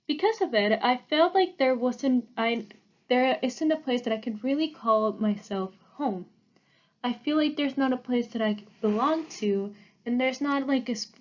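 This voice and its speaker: A woman's voice: on the high side, muffled recording. Earnest young woman presenting art. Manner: earnest, halting, with small restarts. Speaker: art student presenting her work. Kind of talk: prepared presentation.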